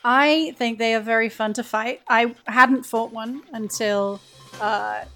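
A woman's voice: on the high side, steady tone, slow pace, bright, forceful tone, faint music behind. A woman chatting casually as a podcast guest about a video game, playful and self-deprecating, often laughing.

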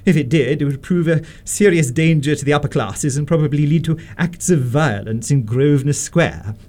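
A man playing a haughty, disapproving upper-class Victorian mother in a radio comedy, pompous and deliberate with swooping emphasis. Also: a little high, a little mellow, natural voice. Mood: haughty interrogating. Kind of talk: stage-comedy radio acting.

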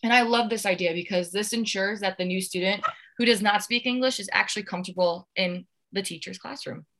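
A woman's voice: medium pitch, brisk, a bit bright. A young woman, an education student, sharing classroom experiences in an earnest, partly scripted podcast discussion. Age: young adult.

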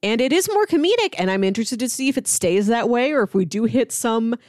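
A woman's voice: a little high, lively intonation, quick pace, a little mellow, dry recording, clean studio sound. A woman co-hosting a podcast in casual conversation. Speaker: podcast co-host.

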